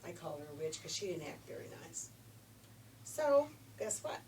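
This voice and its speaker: A woman's voice: lowish voice, very animated, moderate pace. Older woman storytelling, recorded from a distance. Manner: conversational, folksy, emphatic. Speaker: grandmother storyteller. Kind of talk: informal fairy-tale storytelling with asides. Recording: distant mic, faint background noise.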